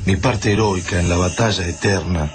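An Argentine actor playing a fearful but resolute survivor, telling his ordeal gravely in a radio play. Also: low voice, normal speed, natural voice. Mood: anguished resolve. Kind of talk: dramatic radio play monologue. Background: music.

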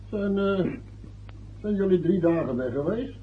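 Radio drama: a prying, tentative relative, very husky and hushed, on a very muffled old recording. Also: male voice, sits high, expressive, a little slow, heavily acted. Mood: curious, hesitant. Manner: hesitant, inquisitive. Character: nosy, hesitant male relative fishing for wedding news. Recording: muffled, distant lo-fi radio play.

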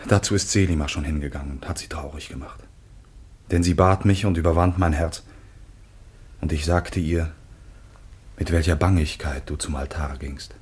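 An actor playing a devoted, grieving son, earnest and reverent, in a declamatory classic German radio play. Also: male voice, very deep voice, steady tone, moderate pace, pauses often, a little mellow, husky. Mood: sorrowful pleading. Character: Seth, devoted grieving son pleading with his father.

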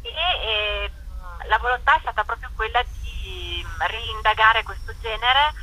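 A woman speaking as a festival director in a conversational interview, explaining things with halting, hesitant pauses. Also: on the high side, animated, bright, forceful tone, a little hiss or hum, low-quality recording.

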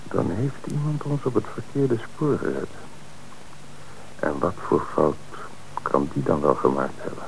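A man playing a gravelly brooding investigator. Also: sits low, big pitch swings, heavily acted, low-quality recording. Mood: pondering. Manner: slow, pondering inner monologue. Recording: old radio play, faint hiss.

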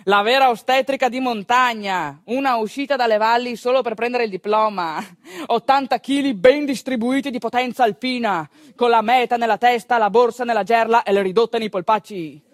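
A woman with a northeastern Italian accent performing a theatrical storytelling monologue in a conversational, wry, expressive manner. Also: a little high, moderate pace, no echo, studio quality. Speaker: stage actress, storyteller.

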